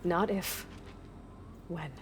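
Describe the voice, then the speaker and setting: A woman's voice: lowish voice, fairly even intonation, drawn-out words, slight background noise. A woman playing a brave, determined young warrior in a video game, intense and direct, protective and defiant. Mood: grimly resolved. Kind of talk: cinematic video game acting. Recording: music behind the voice.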